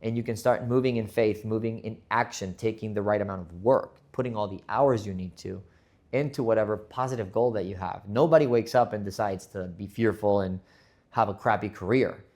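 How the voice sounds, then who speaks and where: Male podcast host, earnest motivational monologue, slightly low voice, stumbles and restarts. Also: a little fast, darkish tone. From Spain. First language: Spanish. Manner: earnest, repeats words as he restarts.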